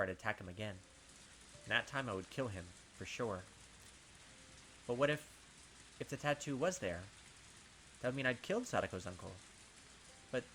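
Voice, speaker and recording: A young man reading a visual novel aloud in a dramatic first-person voice, breaking off for excited asides and commentary as a let's play gamer. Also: medium pitch, slight background noise, rough recording.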